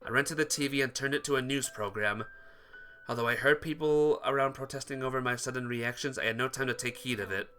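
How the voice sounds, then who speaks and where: Casting: let's player narrator, bright mid-pitched voice, dramatic read-aloud with small stumbles, music behind. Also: quick pace. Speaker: let's player reading a visual novel aloud.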